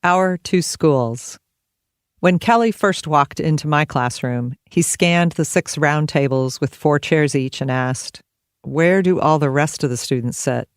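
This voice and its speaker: Clear-voiced American woman reading an audiobook. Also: low-pitched, measured pace, no echo, clean studio sound. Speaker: teacher and author narrating her audiobook. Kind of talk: scripted audiobook narration.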